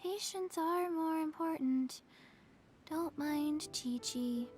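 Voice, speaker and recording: A small undead girl from a video game. The voice is high, soft and childlike. She speaks in halting, sleepy phrases, flat and forgetful, with faint music behind. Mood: subdued, earnest.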